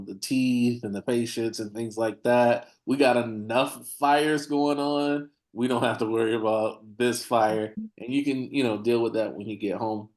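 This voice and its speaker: Male dentist guest, medium voice, measured and thoughtful. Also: medium pitch, darkish tone. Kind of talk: casual panel chat.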